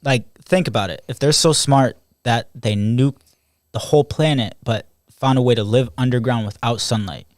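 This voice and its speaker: A man's voice: medium pitch, moderate pace, no room sound, clean studio sound. A teenage man hosting a casual podcast chat with friends, rambling, laughing often and swearing freely. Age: teens. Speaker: podcast host. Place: small room with friends.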